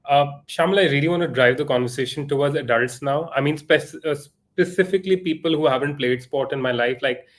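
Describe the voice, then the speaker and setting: Rambling man in his thirties, slightly high voice. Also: a little fast, few pauses. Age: 30s. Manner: rambling, many fillers, self-deprecating. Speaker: podcast host. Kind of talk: casual interview questions.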